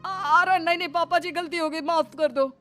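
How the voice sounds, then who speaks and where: A woman's voice: extremely high pitch, steady tone, normal speed, a bit bright, soft background music. A woman voicing a greedy, indignant man in a cartoon moral story, complaining in an aggrieved, exclamatory way. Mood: apologetic, regretful. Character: greedy, whiny, indignant man of a duped family. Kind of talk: animated moral story dialogue.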